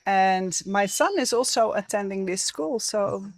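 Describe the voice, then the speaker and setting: A Dutch woman with her accent audible in English, opening and leading an interview in a friendly manner, often pausing with ums. Her voice has a middling pitch and sounds close and dry. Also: lively intonation, no room sound. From Netherlands. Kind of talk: conversational interview.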